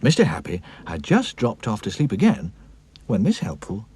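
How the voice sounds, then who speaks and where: Very animated male storybook narrator. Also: on the low side, normal speed, a little hiss or hum.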